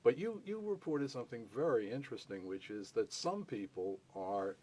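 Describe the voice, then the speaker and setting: A man's voice: medium pitch, big pitch swings, moderate pace, dark tone. An older man hosting an interview, asking probing questions and restating his guests' points in plain terms. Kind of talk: conversational interview.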